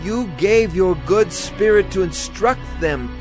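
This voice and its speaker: High-voiced earnest Levite. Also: male voice, expressive, slow. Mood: grateful reverence. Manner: earnest, declamatory. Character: devout ancient Levite reciting his people's history in prayer. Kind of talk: audio Bible drama. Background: music.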